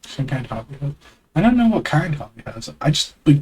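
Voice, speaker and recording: A man's voice: on the high side, very animated, fairly quick, darkish tone, breathy edge. Man, stuffy nose, live streamer, rambling self-deprecating chat, desk mic. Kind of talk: casual live-stream reading of a webcomic aloud. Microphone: external desk mic.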